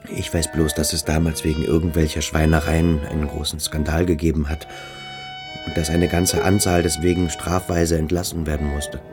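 A man's voice: a bass voice, flat delivery, normal speed, over music. A man playing a sensitive, inquisitive young military-school cadet in a German radio drama, speaking in a hesitant, probing way. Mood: curious, uneasy.

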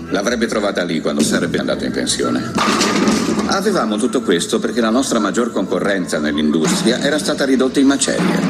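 A man narrates a scripted monologue with a very deep voice. His intonation moves widely, and loud music plays underneath him throughout.

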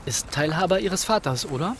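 A man's voice: a little high, animated, fairly quick, a bit bright, slight background noise. A man acting in a radio drama as a courteous, reserved young writer who speaks a little hesitantly. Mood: mild, curious. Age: young adult. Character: polite, reserved young English writer, slightly embarrassed.

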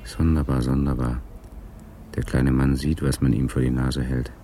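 An actor playing a laconic, musing police detective in a radio drama, with a gravelly voice. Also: male voice, very deep voice, flat delivery, moderate pace, dark tone, faint music behind.

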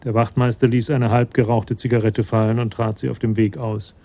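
Mellow male radio play narrator. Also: medium pitch, level tone, fairly quick.

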